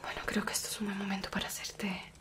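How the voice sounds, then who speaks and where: A young woman from Spain with a Spanish accent, voicing a gentle, protective werewolf woman in an ASMR roleplay, whispering softly and coaxingly into a close mic. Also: mid-range voice, monotone, over music, natural voice. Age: young adult. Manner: soft reassuring whisper, slow and coaxing. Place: small indoor room dressed as a cabin.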